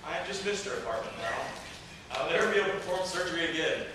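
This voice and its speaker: An earnest, awkward surgeon played by a man delivers melodramatic lines in an amateur stage comedy. The voice sits high and is caught from far away in a big echoey room with clear background noise. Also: lively intonation, quick pace, lightly acted. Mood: resigned. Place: indoor performance room, mic far off. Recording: echoey hall, distant mic, clear noise.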